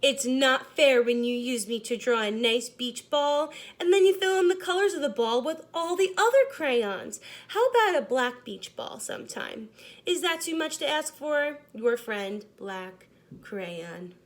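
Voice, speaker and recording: A woman's voice: high-pitched, lively intonation, a little slow. A woman voicing a resentful, underappreciated storybook crayon in a grumbling manner.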